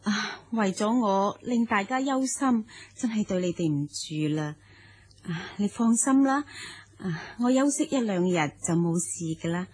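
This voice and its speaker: An actress playing a sorrowful, selfless noblewoman in a radio drama, with a husky, sighing, halting delivery. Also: mid-range voice, expressive, darkish tone. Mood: melancholy, apologetic. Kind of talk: Cantonese radio drama acting.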